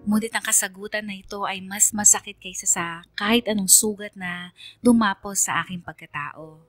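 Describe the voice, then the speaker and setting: A woman performing solemn, measured first-person narration as a haunted letter sender in a radio drama. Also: mid-range voice, fairly even intonation, soft background music, close to an everyday voice. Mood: pained.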